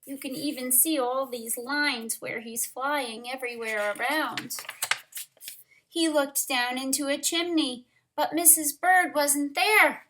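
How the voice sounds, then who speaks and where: Female storytime reader for young kids: very slow, bright delivery, expressive narration, wondering asides to listeners. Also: high-pitched, a little hiss or hum. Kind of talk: read-aloud picture book narration with asides to children.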